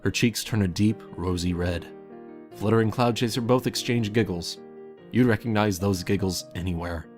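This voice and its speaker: A man narrates a scripted fan fiction story in a low voice. Faint music plays underneath his reading. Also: normal speed, darkish tone.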